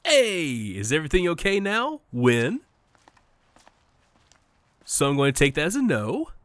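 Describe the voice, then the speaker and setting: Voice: mid-range voice, wildly swooping pitch, unhurried, a bit bright, no room sound. An actor playing an uncannily cheerful entity that poses as a best friend, overly friendly, coy and needling, in an audio drama. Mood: needling calm.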